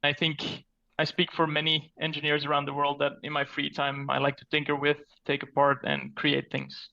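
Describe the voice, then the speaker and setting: Bright-voiced Belgian-raised man, muffled sound. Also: a little high, monotone, normal speed, vocal fry. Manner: earnest, explanatory, with fillers like "so" and "basically". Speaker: podcast guest, security architect. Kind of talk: casual technical interview.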